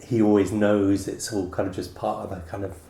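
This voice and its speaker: A man's voice: on the low side, flat delivery, a little fast, dark tone, slight background noise. A man interviewing in a casual, tentative and hesitant way. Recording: roomy, echoing sound.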